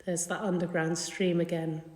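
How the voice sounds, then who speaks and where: A woman leading a guided meditation in a gentle, soothing, unhurried manner. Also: on the low side, flat delivery. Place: indoor carpeted room. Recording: echoey room with long reverb.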